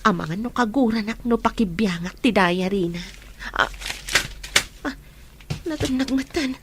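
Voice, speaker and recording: A woman plays an earnest young woman in an audio soap drama, secretly troubled. Her voice sits fairly high, with slight noise and clicks behind it. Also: unhurried, close to an everyday voice. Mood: troubled, hushed.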